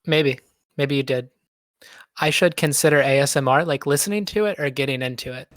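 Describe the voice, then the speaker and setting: A man's voice: medium pitch, a little fast, no echo, studio quality. A man of thirty chatting casually on a livestream, laid-back with self-deprecating humor. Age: 30s. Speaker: guest, web developer.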